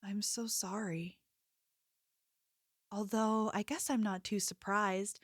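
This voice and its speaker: A woman playing a sweet, attentive young convenience store clerk in an ASMR roleplay, speaking softly with a gentle, hesitant manner. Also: mid-range voice, dry recording, clean studio sound, natural voice. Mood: concerned, protective. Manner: gentle, apologetic, hesitant, solicitous.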